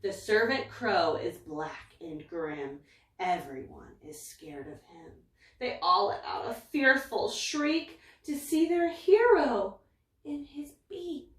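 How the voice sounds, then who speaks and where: A woman's voice: a little high, animated, slow, fairly bright tone, far from the mic. A woman reading a rhyming picture book aloud in a dramatic, emphatic way. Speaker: story reader. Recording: echoey room, away from mic.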